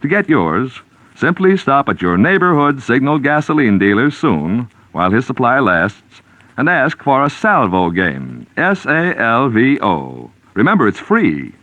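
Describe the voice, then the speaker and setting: A dry, slightly dull vintage radio recording of an American male announcer. He sells in polished 1940s style, emphatic and carefully enunciated, with a very animated pitch. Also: a little slow, no echo. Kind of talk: 1940s radio commercial read.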